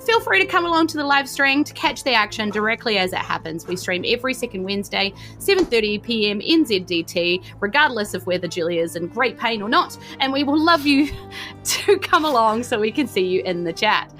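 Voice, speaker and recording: A woman's voice: fairly high pitch, animated, brisk, fairly bright tone, soft background music. New woman running a tabletop game. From New Zealand. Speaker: game master and podcast host. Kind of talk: improvised tabletop game narration and hosting.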